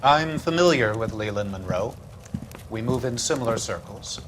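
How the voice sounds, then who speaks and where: A man's voice: medium pitch, animated, moderate pace, a bit bright, a little hiss or hum, close to an everyday voice. A man playing a smug, domineering company boss in a crime game, American-accented, condescending and breezily familiar. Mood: breezy, smug. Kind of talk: naturalistic crime game acting.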